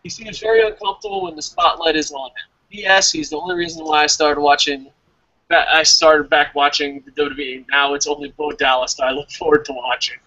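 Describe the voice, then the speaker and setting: A man's voice: a little high, fairly quick, no room sound, highs cut off. A man hosting a casual wrestling review show, joking and swearing freely as he reads out listener comments. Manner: profane, joking, animated.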